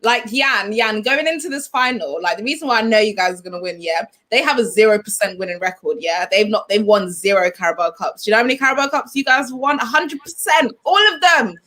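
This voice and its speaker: A woman's voice: a little high, quick pace, hardly any pauses, pushed and bright. A young woman with a London accent, teasing her co-hosts in playful football banter. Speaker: football pundit. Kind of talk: casual chat.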